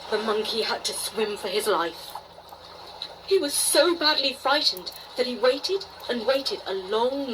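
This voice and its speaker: A woman's voice: mid-range voice, fairly bright tone, rough recording. Woman reading a bedtime story, faint noise.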